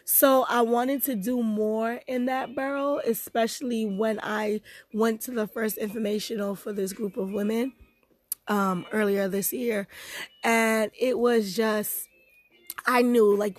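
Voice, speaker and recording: A young woman talks with a fairly high voice that is hoarse, as if she is losing it to a cold. She hosts her own show in a chatty, informal way. Her manner is bubbly and easily amused, like catching up with friends. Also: fairly even intonation, measured pace. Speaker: host of a personal podcast. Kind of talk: casual chat.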